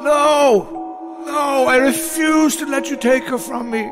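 A man's voice: extremely high pitch, slow pace, music in the background. A man playing a desperate, defiant protector in dramatic audio drama acting.

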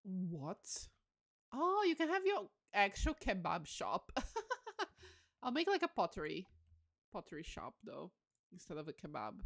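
A woman hosts a casual gaming livestream with a middle-pitched, highly expressive voice. She muses aloud as she plays, breaking into enthusiastic exclamations, and her intonation moves up and down freely. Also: a little slow, pauses often. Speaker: livestream host. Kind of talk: casual game commentary.

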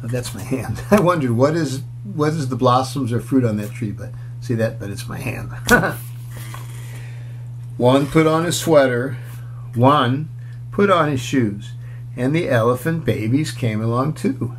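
A man's voice: medium pitch, expressive, a little slow. Older man reading storybooks aloud over noise. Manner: simple, explanatory talk as if to young children. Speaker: children's storybook reader. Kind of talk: read-aloud storytelling with casual asides. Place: small furnished room indoors. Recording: clear background noise.

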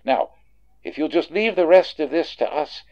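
An actor playing a curt, patronizing police sergeant in old-time radio suspense style. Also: male voice, a little high, animated, normal speed, lightly acted. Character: brusque, dismissive male police desk sergeant. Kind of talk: 1940s radio suspense acting. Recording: dull, band-limited sound.

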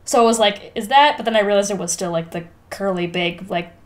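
A woman's voice: medium pitch, brisk. A woman co-hosting a podcast, chatting casually and reacting in informal, slangy language. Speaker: podcast co-host.

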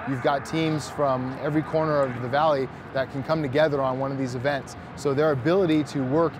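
A man's voice: medium pitch, steady tone, brisk, noisy background. A man from the fire service speaking earnestly and plainly in an interview.

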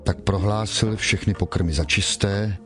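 Male narrator, very low pitch, solemn, measured, scripted scripture reading, music underneath. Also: steady tone.

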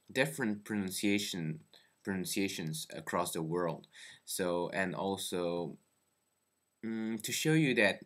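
A man's voice: low-pitched, a bit bright. A young man in his twenties, a native Teochew speaker, talks to his webcam from a small bedroom, hesitant, with many fillers and self-corrections. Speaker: vlogger. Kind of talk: casual talk to camera. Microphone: webcam at close range.

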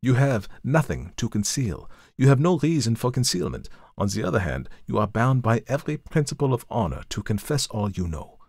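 A man voicing a genial, sharp-witted gentleman detective who speaks in a measured, courteous way. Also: medium pitch, sing-song, no room sound, studio quality, voice pushed up. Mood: calm, courteous.

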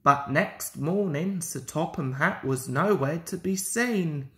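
A woman reading a children's story aloud in an expressive, deliberate way. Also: deep voice. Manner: slow, deliberate, animated delivery. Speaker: storybook reader.

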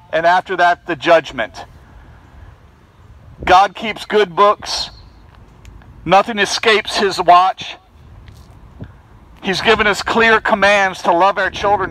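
A man's voice: high-pitched, a bit bright, rough recording. A man preaching in the open air outside a building, calling out insistently to people passing by. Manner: projecting, insistent pleading. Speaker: street preacher. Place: outdoors by a fence and bushes.

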